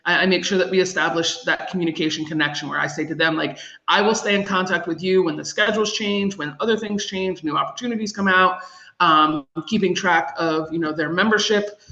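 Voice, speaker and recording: A woman's voice: fairly low pitch, sharp tone. A woman, a kids program director, explaining her methods informally on a group call and repeating phrases for emphasis. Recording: roomy, dull call audio.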